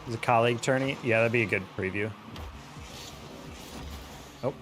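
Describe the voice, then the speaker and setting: A man's voice: mid-range voice, moderate pace, fairly bright tone, faint music behind. A man chatting casually with his viewers while playing a game on a live stream, exasperated and laughing often. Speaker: streamer and caster.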